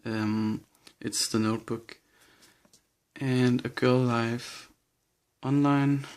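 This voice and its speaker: A man's voice: medium pitch, fairly even intonation, extremely slow, darkish tone, clean tone. A young man with a Danish accent, listing books in a casual bookshelf tour, hesitant and a little nervous. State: nervous and shaky. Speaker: vlogger.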